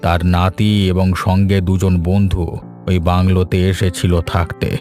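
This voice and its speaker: A man acts out a worried village uncle in a horror cartoon. His voice is deep, earnest and grave, with music behind it. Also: monotone, normal speed, a little mellow, close to an everyday voice. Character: cautious, worried village uncle, protective elder. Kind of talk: scripted Bengali horror cartoon acting.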